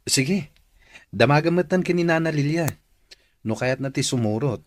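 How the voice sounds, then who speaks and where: An actor playing a friendly, casual young man with a husky voice in a radio drama. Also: a little high, lively intonation, normal speed, dry recording, studio quality, close to an everyday voice.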